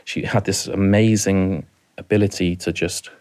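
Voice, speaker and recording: Halting, low-voiced Welsh man. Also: fairly even intonation. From rural mid Wales. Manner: halting, repeats words, soft-spoken. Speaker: guest. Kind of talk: emotional personal storytelling.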